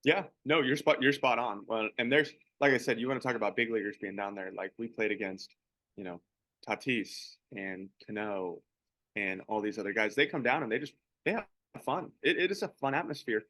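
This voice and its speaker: Young man, bright forceful voice, dry close sound, relaxed rambling chat, baseball podcast co-host. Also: fairly low pitch, fairly quick, no echo, low-quality recording. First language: English. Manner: relaxed, rambling, many restarts.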